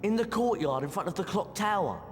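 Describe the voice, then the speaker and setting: An actor playing an earnest, grumbling schoolboy wizard in a video game, boyish and excitable. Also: male voice, high-pitched, a little fast, in a large hall. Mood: grumbling, urgent. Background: music.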